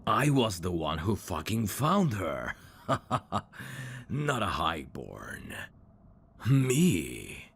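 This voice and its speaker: A man voicing a sly demon servant in an ASMR audio drama, courtly and theatrical with irreverent, sardonic asides and a very deep, gravelly voice. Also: sing-song, extremely slow, fairly bright tone, exaggerated voice. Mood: gloating glee.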